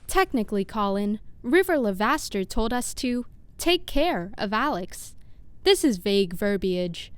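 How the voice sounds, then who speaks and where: A woman's voice: mid-range voice, very animated, a little slow, slight background noise. A woman playing an earnest, literal-minded scientist in an audio drama, with formal, precise wording. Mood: pedantic, hesitant. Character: earnest, literal-minded female lab scientist named Sophie. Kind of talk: scripted audio drama acting.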